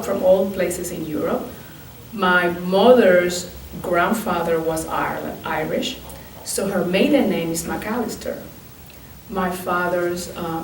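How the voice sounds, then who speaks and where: Woman in her 50s from Puerto Rico. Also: fairly low pitch. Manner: thoughtful, with frequent um and uh pauses. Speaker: oral history interviewee. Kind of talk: recounting her life story. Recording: echoey room from far off, faint noise.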